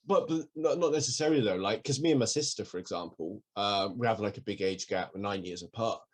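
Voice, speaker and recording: Analytical British man, deep voice. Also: fast talker. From Wales and England. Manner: thoughtful, analytical, some stammering restarts. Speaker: podcast co-host. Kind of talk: casual conversation.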